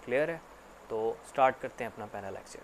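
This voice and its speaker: A man giving a casual spoken English lesson, repeating himself often and filling pauses with "actually". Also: medium pitch, expressive, loud music behind the voice, rough recording. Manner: repetitive, filler-heavy explaining with frequent "actually". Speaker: English teacher.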